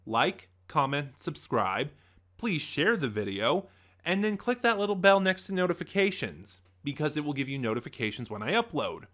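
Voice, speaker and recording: A man's voice: on the high side, very animated, normal speed, fairly bright tone. A man giving a casual spoken review as a channel host, conversational and opinionated, thinking aloud as he goes.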